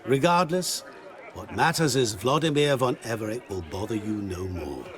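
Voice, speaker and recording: A man's voice: mid-range voice, lively intonation, moderate pace, crowd noise, natural voice. A man playing a sly, eloquent, worldly schemer in a video game, theatrical and smug. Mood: smug, amused. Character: smooth, sly male enchanter giving worldly romantic advice. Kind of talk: video game dramatic acting.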